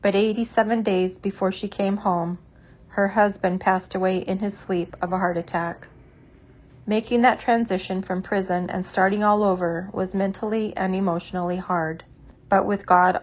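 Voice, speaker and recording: A woman reading a scripted introduction in a measured, earnest way. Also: mid-range voice. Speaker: narrator. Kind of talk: scripted narration. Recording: dull lo-fi sound.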